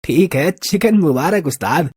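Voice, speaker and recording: A man's voice: on the high side, lively intonation, unhurried, darkish tone, slightly rough. A man playing a drunk, fawning petty-thug sidekick in a story, chatty and deferential in street slang. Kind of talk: Hindi audio story character acting.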